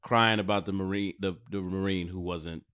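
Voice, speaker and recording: A man's voice: low-pitched, steady tone, normal speed, fairly bright tone, clear, resonant tone. An American man chatting casually as a podcast co-host, talking in a slangy, profane, emphatic way. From United States.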